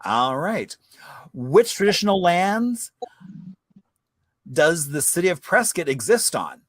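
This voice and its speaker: A man's voice: a little high, big pitch swings, slow, a bit bright, dry recording. A man hosting a casual virtual chat, easygoing and affirming, on a video call. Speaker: host.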